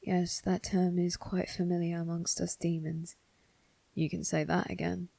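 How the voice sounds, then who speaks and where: A woman's voice: on the low side, little pitch movement, measured pace, creaky, warm tone, dry recording. A woman voicing a sly, teasing demon servant with slangy, informal speech in an ASMR roleplay. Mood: teasing, probing.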